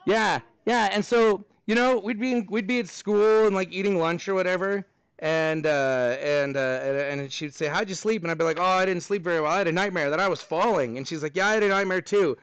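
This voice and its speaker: A man's voice: high voice, brisk, fairly bright tone, clear, resonant tone, dry recording, poor audio. A man with a Texan accent teaching a middle school math class, chatty and joking with his students between problems.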